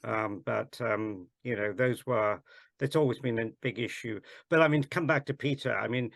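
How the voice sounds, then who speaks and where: A man in his sixties, from South Wales, speaks at an ordinary middle pitch. He talks haltingly, full of ums and restarts, as he recalls things for a panel. His voice sounds close and dry, with no room echo. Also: creaky, fairly bright tone. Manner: hesitant, many ums and restarts. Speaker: panel guest. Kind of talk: casual discussion.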